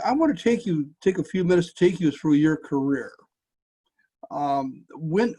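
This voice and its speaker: Mellow-toned man asking interview questions. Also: on the high side, poor audio. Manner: halting, with fillers and restarts. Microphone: video call.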